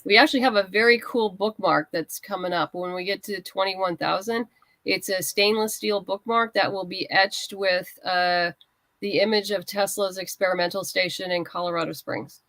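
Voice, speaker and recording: Woman creator guest, casual interview chat, mid pitch, really dry sound. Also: fairly even intonation, normal speed, a bit bright, no room sound. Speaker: guest writer and comics creator. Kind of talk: casual interview chat, plugging a crowdfunding campaign.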